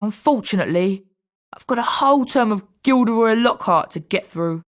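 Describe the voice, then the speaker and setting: A woman's voice: fairly high pitch, lively intonation, moderate pace, natural voice. A woman voicing a chatty, friendly young British schoolboy wizard in scripted video game lines.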